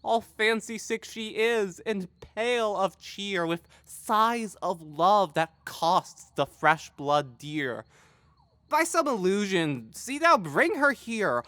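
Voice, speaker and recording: Woman playing regal scheming fairy monarch, slow, dry sound, incantatory Shakespearean delivery. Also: medium pitch, lively intonation, a bit bright, dry recording. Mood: stern, commanding. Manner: imperious, deliberate, incantatory. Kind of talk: audio drama Shakespeare verse.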